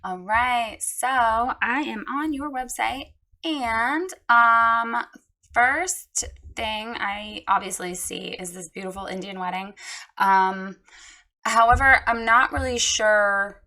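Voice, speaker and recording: A woman with a hoarse, froggy voice giving a frank, chatty critique of a website, with many ums and restarts. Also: on the high side, slow pace, pushed and bright, low-quality recording. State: hoarse, recovering from lost voice. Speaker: website reviewer giving feedback. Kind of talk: casual spoken critique.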